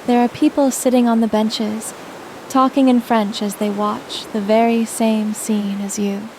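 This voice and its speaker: Soothing, mellow woman guiding sleep meditation. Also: fairly high pitch, little pitch movement, unhurried, a little hiss or hum, poor audio. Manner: soothing, gentle delivery. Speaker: guided meditation narrator. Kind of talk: scripted sleep meditation narration.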